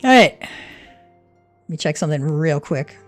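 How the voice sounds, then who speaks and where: A woman's voice: deep voice, animated, slow, soft background music. A woman streamer narrating and chatting casually in a cozy game read-aloud.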